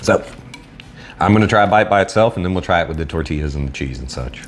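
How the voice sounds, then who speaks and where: A man with a Southern American accent casually reviewing food on camera in a relaxed, rambling way. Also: sits low, fast talker, a little hiss or hum. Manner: laid-back, rambling, self-deprecating. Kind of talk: casual solo video review.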